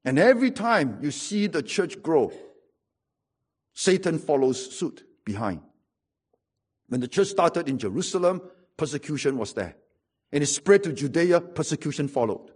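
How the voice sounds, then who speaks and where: A man's voice: on the high side, sing-song, moderate pace, pauses often, husky. A middle-aged man with a Singaporean English accent, preaching a sermon and telling stories with wry humor and emphatic repetition.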